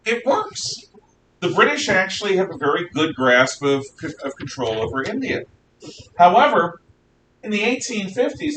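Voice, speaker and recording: A man lecturing a class as a history teacher, conversational, animated and emphatic in his explanations. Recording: noisy rumbling room, distant mic.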